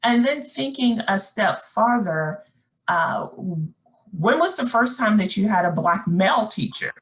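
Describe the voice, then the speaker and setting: A woman's voice: mid-range voice, animated, slow, poor audio. A middle-aged woman presenting a webinar workshop, talking conversationally with fillers and restarts while reading chat comments aloud.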